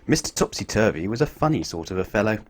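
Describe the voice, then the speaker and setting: Animated man reading a children's storybook. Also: lowish voice, quick pace. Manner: expressive, addresses young listeners with questions.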